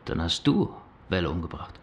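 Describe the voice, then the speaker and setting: A greedy, menacing hustler in a radio crime play. He sneers and speaks bluntly in a rather low voice. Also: sing-song, moderate pace, heavy background noise. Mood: sneering.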